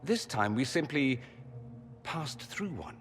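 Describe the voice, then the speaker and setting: An actor playing a witty, urbane mage in a video game, with an arch and theatrical delivery. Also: male voice, medium pitch, sing-song, slow, fairly bright tone, a little hiss or hum. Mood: wry, musing. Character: witty, flamboyant adult male mage, urbane and inquisitive. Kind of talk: dramatic video game voice acting. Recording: long hall reverb, music underneath.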